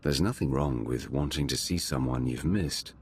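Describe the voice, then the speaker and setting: An actor playing a steady, terse, gruff male ally in a video game cutscene, understated. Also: rumbling low, normal speed, slight background noise. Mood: reassuring.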